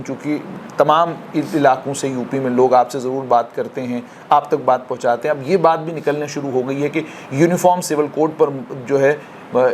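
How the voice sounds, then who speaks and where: A man's voice: medium pitch, a little mellow, a little hiss or hum. A man working as a news interviewer, putting long, polite, formal questions in Urdu-leaning phrasing.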